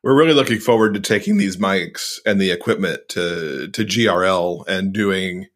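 A man speaking as a podcast guest in a relaxed, informal conversation. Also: sits low, moderate pace. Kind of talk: casual chat.

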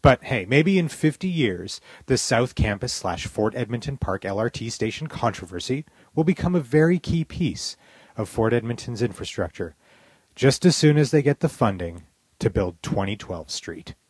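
A man's voice: mid-range voice, big pitch swings, normal speed, a little mellow, slightly rough, no echo. A man hosting a podcast, delivering scripted opinion commentary in a wry, critical, emphatic way. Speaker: podcast host.

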